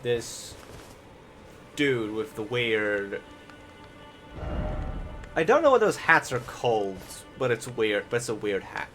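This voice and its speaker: A man's voice: medium pitch, sing-song, slow, pauses often, a bit bright, faint music behind. A man giving casual, rambling gameplay commentary in an informal, sweary way. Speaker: gaming video creator.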